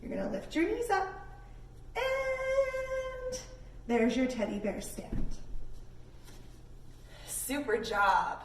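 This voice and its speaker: A woman hosting a kids' yoga lesson, giving casual, encouraging instructions in an animated way made for young children. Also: extremely high pitch, extremely slow, pauses often. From Canada. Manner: animated, child-directed, addresses listeners as friends. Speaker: children's yoga teacher and host. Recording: roomy echo, distant mic, faint noise.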